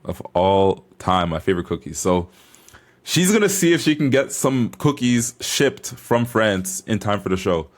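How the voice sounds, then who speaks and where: A man's voice: fairly low pitch, very animated, normal speed. A young man vlogging as a bodybuilder, chatting casually to camera in a hyped, informal, slangy way.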